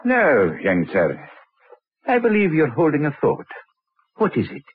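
An actor in an old radio drama playing a middle-aged, pompous, evasive anatomy professor, fussy and hesitant, then imperious. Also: male voice, a little high, huge pitch swings, measured pace. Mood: furtive, shrewd. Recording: tinny, band-limited vintage radio recording.

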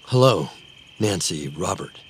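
A man playing a gentle, flustered middle-aged ex-husband in an audio drama, halting, earnest and polite. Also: sits low, lively intonation, slight background noise. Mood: hesitant, polite. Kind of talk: naturalistic audio drama acting.

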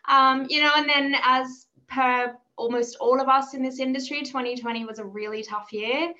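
Female podcast guest, thirties, Australian accent, bright and emphatic delivery, somewhat dull lo-fi recording. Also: high-pitched, fairly even intonation, normal speed. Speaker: interview guest, travel business development manager. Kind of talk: conversational interview.

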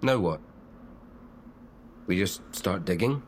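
A man's voice: sits low, animated, slow pace, slight background noise. A man playing a bewildered, uneasy spaceship survivor in an audio drama, questioning and incredulous. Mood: wry, puzzled. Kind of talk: audio fiction acting. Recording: loud music under the voice.

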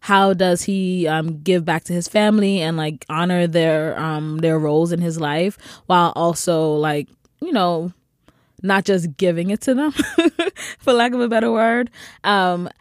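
Chatty woman co-host, bubbly, laughs a lot, slightly low voice, dry close sound. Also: measured pace, no room sound. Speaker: podcast co-host. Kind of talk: casual chat.

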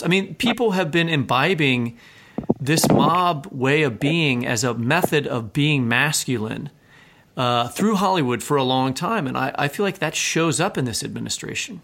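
Male podcast host, mid-pitched voice. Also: lively intonation, normal speed, a bit bright, a little hiss or hum. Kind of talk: literary podcast talk with some reading aloud.

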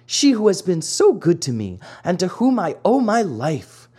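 Reader putting on a higher, pleading storybook puppet boy, remorseful and lively in tone. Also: measured pace, breathy edge, exaggerated voice. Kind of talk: storybook reading.

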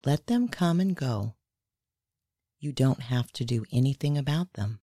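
A woman hosting and leading a guided meditation in a measured, instructional way, reading scripted narration. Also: rumbling low, animated, dark tone, dry recording, studio quality. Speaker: meditation guide and podcast host.

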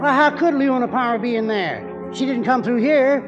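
An actor playing a nosy, excitable gatekeeper in a 1940s radio drama. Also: male voice, extremely high pitch, moderate pace. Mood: agitated. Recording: dull old radio, loud music behind.

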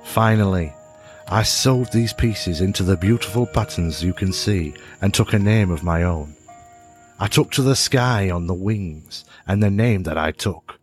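A man voicing a proud, wise, tiny winged insect who instructs in a grand, slow storybook manner. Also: low voice, soft background music. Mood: proud, lofty.

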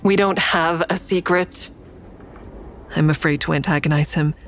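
A woman's voice: deep voice, steady tone, a little slow, a little hiss or hum. An actress playing a guarded, sardonic woman in an audio drama, dry and wry in dialogue and first-person narration. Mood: defensive. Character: guarded, sardonic woman, crisis counselor with secret kills.

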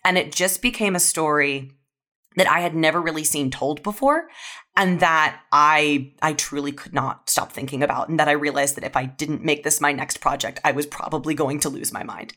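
A young woman with a low-pitched voice and a bright, forward edge. She speaks candidly and informally, with a self-aware streak, as in a reflective interview. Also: normal speed. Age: young adult. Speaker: audio fiction writer. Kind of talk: reflective interview talk about own writing.

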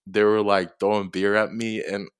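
A man's voice: on the low side, level tone, moderate pace, smooth, dry recording, clean studio sound. A young man from Tonga giving casual, somewhat hesitant interview answers as a college football player. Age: young adult.

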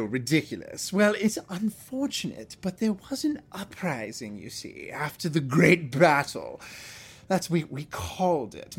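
A man's voice: fairly high pitch, husky, exaggerated voice. A man playing a vain, flirtatious deposed alien prince in an audio drama, with a pompous, theatrical way of talking. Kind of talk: audio fiction acting.

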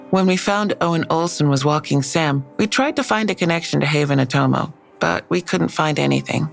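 An actress playing a skeptical police detective in an audio drama, dry and matter-of-fact, with a low, gravelly voice. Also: female voice. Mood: wary.

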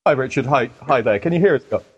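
A man from Denmark with a husky voice, chatting casually as a self-deprecating interview guest. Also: medium pitch, lively intonation, fast talker, highs cut off. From Denmark, has lived in the UK most of his life. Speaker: guest, paranormal researcher and theologian.

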